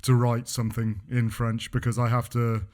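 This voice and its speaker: English guy cohosting a podcast, monotone, rambly, jokes about himself. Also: medium pitch, moderate pace. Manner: self-mocking, rambling with restarts. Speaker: podcast co-host. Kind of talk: casual chat.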